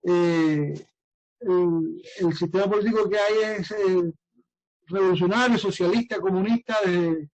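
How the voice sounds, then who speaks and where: A man in his fifties from Cuba with a Cuban accent, an interviewee speaking deliberately and haltingly. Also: high voice, muffled recording. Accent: Cuban Spanish. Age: 50s.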